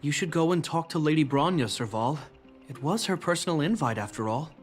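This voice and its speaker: An actor playing a dutiful, worried military officer in a video game, speaking in a formal, measured way. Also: male voice, on the high side, animated, faint music behind, lightly acted. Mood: earnest, urging.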